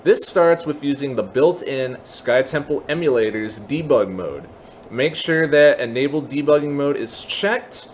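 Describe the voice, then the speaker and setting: A man's voice: medium pitch, animated, unhurried. Man giving a tutorial over noticeable noise. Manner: methodical, step-by-step. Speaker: tutorial presenter. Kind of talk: explanatory screen-recorded software tutorial.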